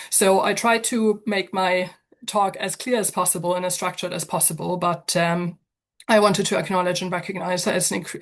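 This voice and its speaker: A woman giving an online talk as guest speaker, a little hoarse from a cold, measured and careful, sometimes restarting a phrase. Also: lowish voice. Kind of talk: online lecture. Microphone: video call.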